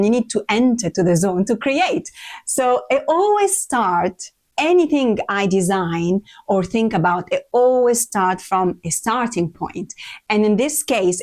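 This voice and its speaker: A woman's voice: medium pitch, darkish tone. Middle-aged woman presenting, English. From Lebanon and Iraq. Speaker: interior designer, presenter. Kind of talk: informal home tour presentation.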